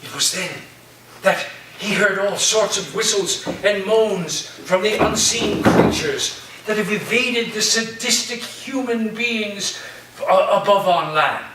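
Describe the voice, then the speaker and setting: A man's voice: high voice, takes it slowly, a bit bright. A man playing an eccentric, grandiose college professor and dreamy storyteller in a theatrical staged reading, with a dramatic delivery. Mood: dreamy, solemn. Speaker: actor in a staged play reading. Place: echoey room at a live staged reading. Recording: roomy, distant mic, faint noise.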